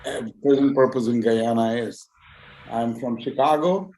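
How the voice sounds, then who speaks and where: Casting a male physician guest on a video call: unhurried, halting speech full of fillers, mid pitch, light background noise. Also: a little mellow, rough recording. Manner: hesitant, many fillers and restarts. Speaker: guest speaker, physician and YouTuber. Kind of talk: conversational interview answers.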